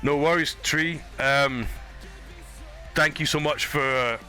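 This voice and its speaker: A man's voice: on the high side, fairly even intonation, takes it slowly, pushed and bright, faint music behind. A Scottish man streaming a game, chatting casually, swearing and laughing easily. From Scotland. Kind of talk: casual gaming stream chat.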